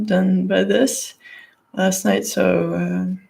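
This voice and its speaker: A hoarse woman with a gravelly voice doing a casual tarot and crystal card reading in her living room, rambling with lots of fillers. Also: medium pitch, level tone, very slow, warm tone. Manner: rambling, lots of "like" and "you know".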